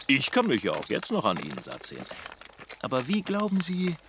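An actor playing an eager, infatuated suitor, flirtatious and chatty, in a radio crime drama. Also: male voice, mid-range voice, huge pitch swings, brisk, background noise. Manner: chatty, flirtatious, theatrical swoops.

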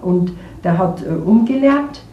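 A woman's voice: lowish voice, animated, unhurried, dull audio. A woman talking casually and hesitantly, searching for words and repeating herself. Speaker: interviewee. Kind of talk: casual spontaneous talk. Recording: distant, echoey room with faint noise.

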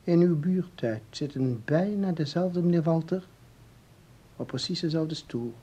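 An actor playing a calm researcher in a Dutch radio play, explaining formally and deliberately with small hesitations. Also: male voice, fairly high pitch, breathy edge. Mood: lecturing. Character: calm, explaining male researcher of time anomalies.

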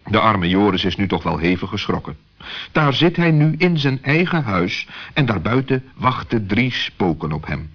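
A man narrating a children's story as an expressive storyteller who builds suspense. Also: fairly low pitch, moderate pace. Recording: dull old lo-fi recording.

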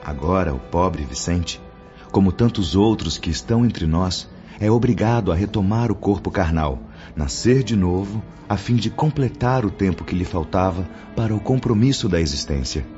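A man's voice: low voice, animated, moderate pace, mellow. A man playing a grave, patient spirit mentor in a radio drama, teaching in formal diction. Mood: solemn, explanatory. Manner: solemn, didactic, formal diction. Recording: dull lo-fi audio under music.

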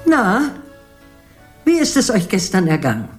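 A woman's voice: medium pitch, huge pitch swings, measured pace, soft background music. An actress playing a cheerful old grandmother, teasing and indulgent, in a German children's radio play. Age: older.

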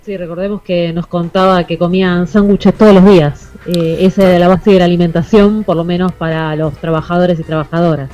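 Lowish-voiced woman on radio. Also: flat delivery, fairly quick, hardly any pauses, dark tone, dull audio. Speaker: radio show co-host. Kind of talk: casual radio talk.

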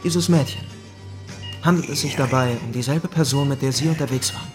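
Ein Schauspieler spielt in einem Krimihörspiel einen jungen Anwalt, der förmlich und bedächtig im Auftrag von Gangstern unterschwellig droht. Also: male voice, mid-range voice, close to an everyday voice. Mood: coolly menacing. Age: young adult. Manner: formal, deliberate, veiled threat. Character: young male lawyer, coolly menacing mob go-between. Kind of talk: crime radio drama acting. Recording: loud music behind.

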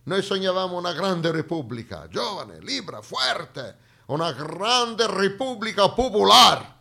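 A man's voice: high-pitched, sing-song, a little slow, vocal fry, pushed and bright, voice pushed up, full character voice. A man on stage playing a short, bent old general, grumbling and grandiose, with a Genoese accent tinged with Spanish. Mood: bitter, grandiose. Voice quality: thick foreign-tinged Genoese-style speech.

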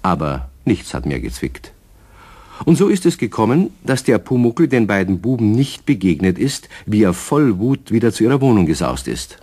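An actor as the storyteller of a children's radio play, narrating in a husky voice with a southern German accent. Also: male voice, fairly low pitch, very animated, moderate pace, dark tone. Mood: storytelling.

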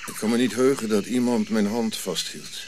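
An actor plays an old prince in exile, dignified and weary. His low voice moves in measured, solemn phrases, as in a radio play. Clear nature noise of crickets and birds sits behind him. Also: fairly even intonation, natural voice. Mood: resigned, reflective. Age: older.

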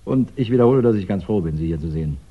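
An actor playing a courteous, wryly amused chief inspector in a 1960s German radio crime drama, soothing and gently condescending. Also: male voice, deep voice, animated, racing. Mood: wry, calm. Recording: dull 1960s radio recording.

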